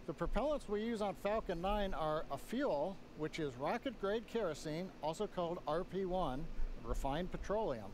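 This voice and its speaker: Measured launch commentator, a man. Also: sits high, a little hiss or hum, low-quality recording. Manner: measured, explanatory, steady.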